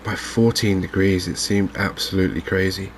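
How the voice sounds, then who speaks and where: A man with an English accent giving gentle, unhurried, softly spoken ASMR commentary on a video game. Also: low voice, steady tone, a little hiss or hum. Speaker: gaming video host. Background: fishing game ambience.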